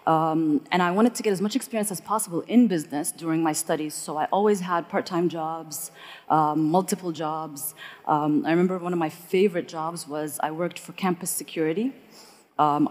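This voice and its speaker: Woman telling her story on a panel, low-ish voice, lots of ums, big echoey hall. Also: darkish tone. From eastern Saudi Arabia. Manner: chatty, many fillers. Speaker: panel speaker, entrepreneur. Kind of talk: panel discussion. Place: conference hall. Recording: hall reverb.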